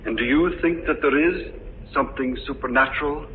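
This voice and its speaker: An actor playing a wary, formal estate executor in an old-time radio mystery, with a guarded, questioning manner. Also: male voice, medium pitch, a little fast, phone-quality sound, lightly acted. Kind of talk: 1940s radio mystery acting. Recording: noisy, thin old radio recording.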